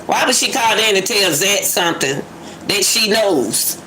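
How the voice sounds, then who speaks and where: Woman doing casual reaction talk, slow pace, kinda thinking out loud, repeats herself, bit of background noise. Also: mid-range voice, lively intonation, rough recording. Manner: musing aloud, repeating phrases for emphasis. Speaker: commentator reacting to a phone call clip.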